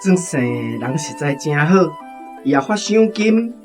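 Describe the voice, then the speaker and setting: An actor with a Taiwanese accent playing a humble, courteous head of a travelling opera troupe in a radio drama. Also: male voice, on the high side, very animated, unhurried, over music. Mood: grateful, polite. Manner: deferential, courteous.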